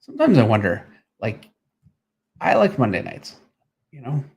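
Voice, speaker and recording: A man's voice: medium pitch, wildly swooping pitch, moderate pace, vocal fry, darkish tone, breathy edge. A man hosting a drawing live stream, chatting casually and easily, often trailing off mid-thought. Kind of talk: casual unscripted chat.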